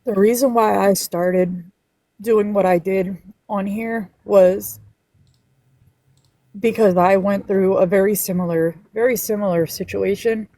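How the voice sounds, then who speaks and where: A woman guest on a live panel, speaking earnestly and emotionally, sometimes halting. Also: medium pitch, steady tone, dark tone, no room sound. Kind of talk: personal testimony on a live stream.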